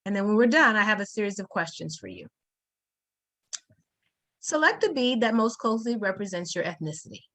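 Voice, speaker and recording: A woman's voice: mid-range voice, very animated, brisk, pauses often, a bit bright. A woman leading a group workshop over a video call, firm and directive. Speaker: workshop facilitator.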